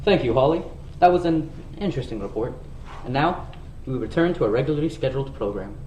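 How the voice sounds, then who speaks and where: A man's voice: mid-range voice, lively intonation, fast, darkish tone, muffled recording, a little hiss or hum, natural voice. A man playing a melodramatic radio serial announcer, narrating in a grandiose manner. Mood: grand. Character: dramatic old-time radio serial announcer. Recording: echoey room.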